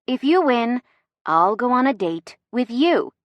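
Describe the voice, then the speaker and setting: A woman's voice: a little high, very animated, measured pace, no room sound, highs cut off, voice pushed up. A woman voicing a sassy, easily flustered young heroine with bantering, defiant delivery in a romance audiobook. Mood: playful.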